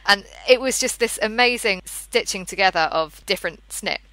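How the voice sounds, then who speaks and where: A woman's voice: mid-range voice, moderate pace, bright, forceful tone, no echo, poor audio. A woman in her thirties hosting a casual podcast conversation, speaking in an enthusiastic, appreciative way. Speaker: podcast host.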